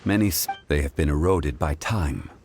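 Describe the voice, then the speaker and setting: An actor playing an ancient, dignified god in human form in a fantasy video game, speaking in a grave, measured, formal way. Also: male voice, very deep voice, expressive, darkish tone, slight background noise. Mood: earnest, wise.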